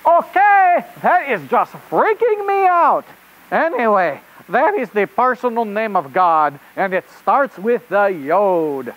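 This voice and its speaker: A performer voicing an excitable, easily flustered rabbit reporter puppet in a children's show, with a theatrical delivery and a put-on French accent. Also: medium pitch, a little slow, hardly any pauses, poor audio. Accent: fake French. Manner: theatrical, exclamatory.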